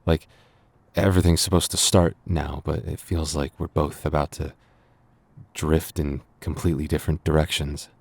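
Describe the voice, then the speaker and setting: A man's voice: rumbling low, level tone, moderate pace, gravelly, a little mellow, no room sound, full character voice. A man playing a flustered, lovestruck young man in a boyfriend roleplay audio, stammering and bashful. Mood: wistful, anxious. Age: young adult. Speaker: voice actor.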